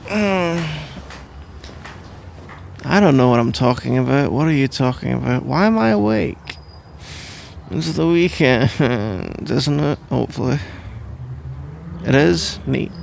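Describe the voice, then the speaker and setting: A man's voice: medium pitch, lively intonation, slow, vocal fry, long pauses, a little mellow, slight background noise, exaggerated voice. A man playing a sleepy, grumbling, playful husband in an ASMR roleplay, rambling and bantering with mock indignation. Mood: groggy, confused. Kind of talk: ASMR roleplay, improvised-sounding one-sided conversation.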